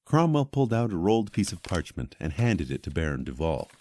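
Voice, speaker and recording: Deep-voiced male fantasy narrator. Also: sing-song, fairly quick, mellow. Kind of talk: scripted fantasy audio drama narration.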